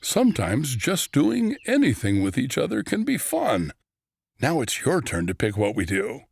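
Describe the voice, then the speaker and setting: A man's voice: mid-range voice, wildly swooping pitch, a little slow, creaky, a little mellow, breathy edge, no echo, voice pushed up, full character voice. Man voicing a goofy, friendly storybook bear. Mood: cheerful, wise. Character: friendly, goofy magical male bear, playful mentor. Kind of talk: children's storybook character voice.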